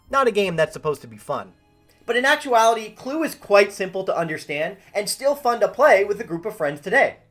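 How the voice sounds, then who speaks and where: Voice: medium pitch, fairly bright tone. A video essay host delivering scripted narration in a wry way with jokey asides.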